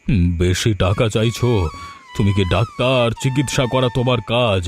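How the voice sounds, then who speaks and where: A man voicing a greedy, powerful village headman in a cartoon, talking in a quick, anxious, demanding way. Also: mid-range voice, close to an everyday voice. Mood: fretful. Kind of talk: cartoon voice acting.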